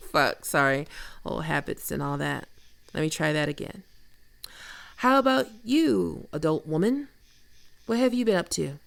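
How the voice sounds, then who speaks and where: Woman acting a very creaky, teasing flirt. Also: fairly low pitch, no room sound. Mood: playful teasing. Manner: casual, teasing, unhurried. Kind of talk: intimate ASMR roleplay acting.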